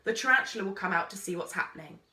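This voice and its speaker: A woman's voice: mid-range voice, expressive, very fast, pushed and bright, far from the mic. A woman voicing a brusque, wry explorer man with a commanding, matter-of-fact manner in a storybook. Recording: roomy, echoey sound.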